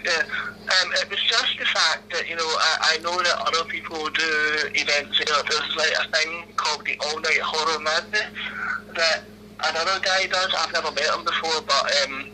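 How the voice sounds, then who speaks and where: A man's voice: a little high, fairly even intonation, moderate pace, pushed and bright, slight background noise. A man in his twenties with a Glaswegian accent, answering interview questions over a phone call in a hesitant, laughing way, full of ums and restarts. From Scotland. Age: 20s.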